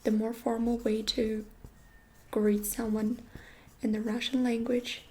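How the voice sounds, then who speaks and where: A woman whispers a gentle language lesson right into a close microphone, her voice sitting a little high. She takes her time and says each phrase again and again. The delivery stays hushed and unhurried throughout. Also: level tone. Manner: soft whisper, slow repetitions. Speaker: language teacher.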